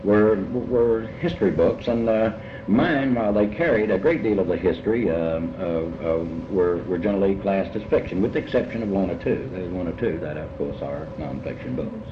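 An older man, being interviewed as a writer and speaking reflectively, with halting, repetitive, rambling phrasing. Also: low-pitched. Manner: halting, rambling, with many repetitions and long hesitations. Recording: muffled, echoey, noisy amateur interview tape.

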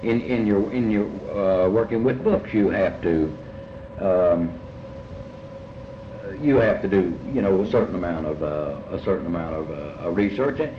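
An older man talks reflectively in an interview. He hesitates often, repeats himself and wanders through his thoughts. The tape is muffled, with clear noise behind him. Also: deep voice. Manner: halting, rambling, with many repetitions and long hesitations. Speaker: writer and lecturer being interviewed. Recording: muffled, echoey, noisy amateur interview tape.

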